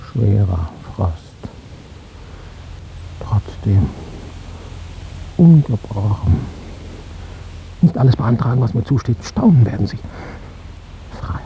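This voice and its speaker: An older actor in a radio drama playing a weary, stubborn old psychiatric patient who speaks in terse, brooding fragments. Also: male voice, fairly low pitch, big pitch swings, takes it slowly, vocal fry, pauses often, lo-fi sound, slight background noise, heavily acted. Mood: agitated, defiant. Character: weary, stubborn old male psychiatric patient, former East German dissident.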